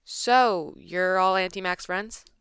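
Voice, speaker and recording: A woman's voice: lowish voice, takes it slowly, background noise. A woman playing a bossy, blunt, impatient teenage niece in an audio comedy drama. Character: bossy, brash teenage niece, matter-of-fact.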